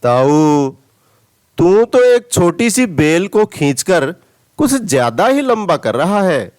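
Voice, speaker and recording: A man reads a young storybook goose who scoffs at his elder. The delivery is dismissive and mocking, yet slow, with a melodic, higher-set pitch. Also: sing-song, darkish tone, no echo, studio quality, heavily acted. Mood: scornful. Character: young scoffing male geese doubting their elder.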